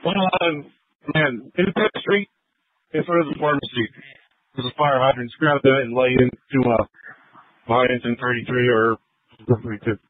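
A man's voice: mid-range voice, moderate pace, long pauses, no echo. A man working as a fire commander, speaking in terse emergency traffic over a two-way radio. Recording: narrowband fire radio transmission.

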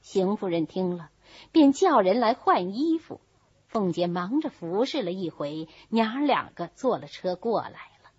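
A woman's voice: mid-range voice, very animated, a little slow, darkish tone, husky, no room sound. A woman narrator reads a classic novel aloud expressively, voicing the dialogue as she goes. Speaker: audiobook narrator. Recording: dull, lo-fi, dry recording.

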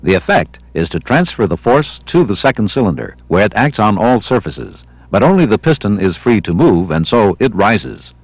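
Male American training film narrator, low and slightly husky voice, measured teaching delivery, dry studio sound. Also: very animated, dry recording. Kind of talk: scripted instructional narration. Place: studio voice-over.

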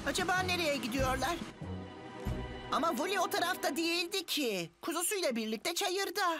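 A woman's voice: very high-pitched, animated, normal speed, over music. A woman voicing an eager, plucky schoolboy who talks to himself in a children's cartoon dub. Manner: self-confident, muttering to himself.

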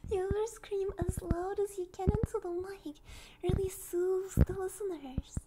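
A soft-spoken, playful woman chatting as an ASMR streamer, close on a stereo microphone and sometimes slipping into whispers. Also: very high voice, fairly even intonation, slow, heavy background noise. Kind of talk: ASMR livestream chat, drifting into whispers. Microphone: close binaural stereo microphone.

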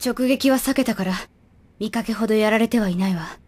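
Woman acting an earnest high-voiced teenage girl. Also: brisk, darkish tone, close to an everyday voice. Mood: grave. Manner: earnest, polite, grave. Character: serious, dutiful teenage girl agent, earnest and formal. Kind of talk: anime audio drama acting.

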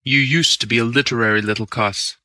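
A man voicing a cool, assured gentleman with a languid, unruffled manner. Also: medium pitch, fairly even intonation, fairly quick, no room sound.

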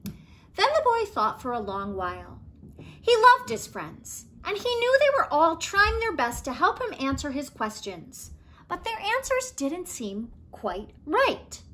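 A high-voiced woman in her forties reads a picture book aloud to children. Her delivery is slow and very animated, with expressive phrasing that shapes each line. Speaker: teacher reading stories to pupils. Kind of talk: read-aloud children's storytelling. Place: room at home, indoors.

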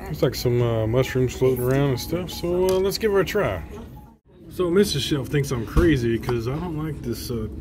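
A man's voice: mid-range voice, lively intonation, moderate pace, music in the background. A middle-aged man giving casual, unscripted vlog commentary in a hesitant, rambling way. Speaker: vlog host.

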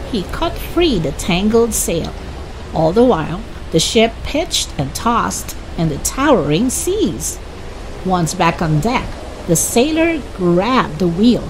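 A woman reading a children's chapter book aloud as narrator, expressive, dramatic and deliberate. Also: medium pitch, noisy background. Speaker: children's story reader. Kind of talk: expressive scripted storybook narration.

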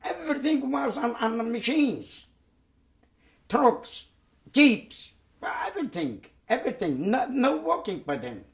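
A man, giving oral history testimony in a halting way, with many repeated words and restarts. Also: extremely high pitch, very animated, gravelly. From Poland. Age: 90s. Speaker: Holocaust survivor giving testimony. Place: dark studio set, indoors.

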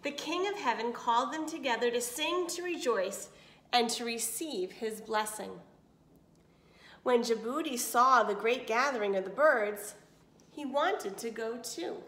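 A woman's voice: a little high, measured pace, a bit bright. A woman reading a picture book aloud to children as a storyteller, expressively, with little asides and questions. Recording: echoey room.

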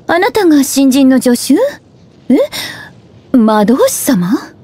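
A woman's voice: sits high, very animated, normal speed, mellow. A woman voicing a playful, teasing older-sister witch in an anime game, with languid delivery. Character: playful older-sister type, former witch turned librarian.

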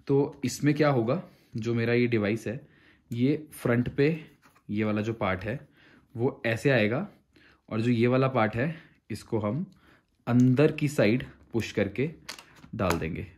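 A man's voice: medium pitch, a little slow, pauses often, darkish tone, breathy edge. A man explains a product step by step in a conversational vlog style, mixing in English phrases. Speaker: motorcycle gear reviewer. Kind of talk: casual hands-on product tutorial.

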